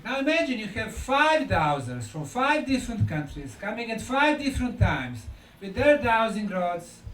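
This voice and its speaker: A man giving a conference lecture in an emphatic, deliberate way, repeating key words for effect. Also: high-pitched, big pitch swings, a bit bright. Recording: echoey room, distant mic, faint noise.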